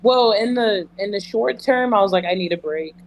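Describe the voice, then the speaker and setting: A man's voice: high-pitched, normal speed, a little hiss or hum, poor audio. A man speaking candidly and emphatically as a guest in a conversational interview, repeating phrases for emphasis. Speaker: interview guest, emergency dispatcher. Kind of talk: earnest conversational interview answers.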